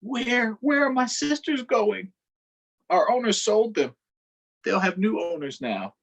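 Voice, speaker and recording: A man's voice: expressive, slow pace, a bit bright, dull audio, full character voice. A man reading aloud, voicing a frightened enslaved little girl who begs her mother not to let them be taken away. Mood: pleading. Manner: high, desperate pleading.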